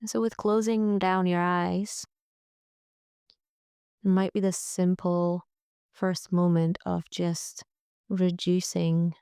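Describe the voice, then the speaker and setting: A woman leading a guided relaxation meditation in a gentle, soothing, unhurried way, with frequent pauses. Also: lowish voice, creaky, clean tone, dry recording, clean studio sound. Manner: gentle, soothing, pausing often. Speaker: yoga nidra guide.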